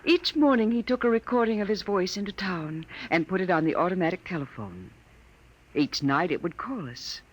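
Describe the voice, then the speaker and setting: An actress playing an ageless android wife in a 1950s radio drama, soft-spoken, wistful and grieving with quiet dignity. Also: female voice, lowish voice, lively intonation, moderate pace, poor audio. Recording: vintage 1950s radio broadcast recording.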